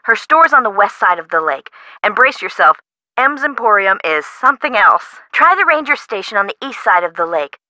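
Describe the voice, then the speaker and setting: An actress playing an excitable, worried woman homeowner in a video game, American and chatty in an emphatic way. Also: medium pitch, normal speed, no echo. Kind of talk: scripted game dialogue.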